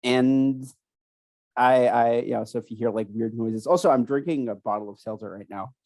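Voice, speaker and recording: A young man co-hosting a casual chat, rambling and halting with many restarts, still sniffly from a cold. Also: mid-range voice, darkish tone, dry recording. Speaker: podcast co-host.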